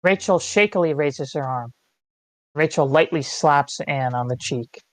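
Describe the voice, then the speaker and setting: A man's voice: a little high, measured pace, fairly bright tone, dry recording. A man reading stage directions as narrator at a screenplay table read.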